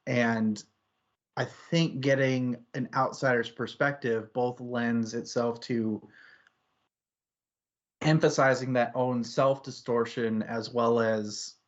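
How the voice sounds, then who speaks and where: Male book-club host, slow and thoughtful, halting delivery, dulled low-fidelity audio. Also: muffled recording. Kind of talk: casual book discussion.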